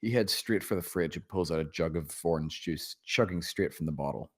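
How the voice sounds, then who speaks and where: A man's voice: low voice, a little fast, slightly rough. A man narrating a script's stage directions at a table read.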